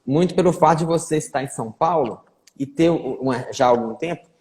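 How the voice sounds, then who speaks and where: A man's voice: a little high, a little fast, a little mellow. A man, a neurologist, hosting a casual live-stream interview in a chatty way, often tagging his sentences with little check-ins. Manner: chatty, repeats fillers like "né". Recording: echoey room with long reverb.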